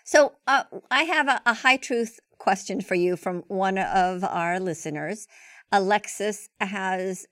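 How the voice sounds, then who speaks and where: A woman's voice: lowish voice, fairly bright tone, no echo. Woman, podcast host, chatty, stammering restarts, dry close sound. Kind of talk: interview questions.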